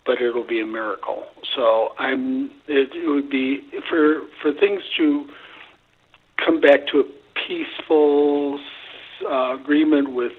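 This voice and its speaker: A man's voice: mid-range voice, slow pace, a little hiss or hum. A middle-aged man talking conversationally as a radio guest, repeating phrases for emphasis, over a narrow phone-like line. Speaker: psychic guest on a radio show. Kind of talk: casual conversational talk.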